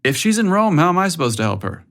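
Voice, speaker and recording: A man's voice: on the high side, big pitch swings, fast talker, a bit bright, pitched above the performer's own voice. A man playing a good-humored, reluctant young man in a narrated story, with a wry manner. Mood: confused. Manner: sighing, wry.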